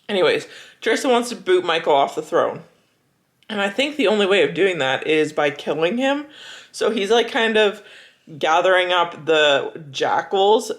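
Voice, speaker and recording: A young adult woman chatting casually as a book vlogger, gushing and animated, with dramatic emphasis and plenty of "like" as filler. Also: lowish voice. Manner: frequent filler "like". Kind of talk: casual reading vlog chat.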